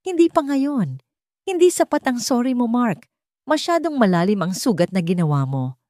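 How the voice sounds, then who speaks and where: A woman's voice: on the high side, sing-song, normal speed, mellow, dry recording, clean studio sound, pitched above the performer's own voice. A woman voicing a stern, protective mother of a grown son in an audio drama, emphatic and pained. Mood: firm.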